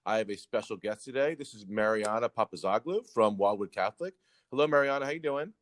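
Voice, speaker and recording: A man hosting a podcast interview, quick and upbeat as he keeps the questions coming. He encourages his guest, and his voice is captured close and dry. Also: on the low side, animated, dry recording. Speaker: podcast host.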